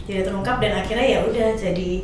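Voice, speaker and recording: A woman's voice: mid-range voice, fast, a crowd in the background. A woman hosting a casual talk show, agreeing and summing up what others say. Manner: agreeing, summarizing others' points. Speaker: host. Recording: very echoey, distant mic, noisy.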